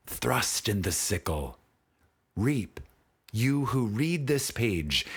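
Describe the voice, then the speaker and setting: A man with a husky voice reads a spiritual audiobook in a solemn, deliberate manner with dramatic pauses. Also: on the low side. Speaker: audiobook narrator. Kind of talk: scripted spiritual audiobook narration.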